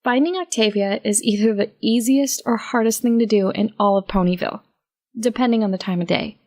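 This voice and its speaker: A woman's voice: mid-range voice, a little fast, darkish tone. A woman reading first-person fiction as narrator, in a wry, conversational manner.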